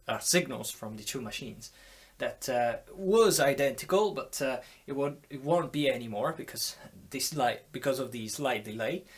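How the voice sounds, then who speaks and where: Male hobbyist presenter for an informal how-to, mid-pitched, halting, thinking out loud. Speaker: audio hobbyist demonstrating tape machines. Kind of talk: casual hands-on tutorial.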